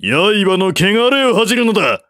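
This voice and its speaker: Male narrator as haughty enemy general, storybook epic, mocking declamation, raised pitch, dry sound. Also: big pitch swings, moderate pace, a bit bright, no room sound. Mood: scornful. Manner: goading, declamatory. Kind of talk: dramatic audiobook character reading.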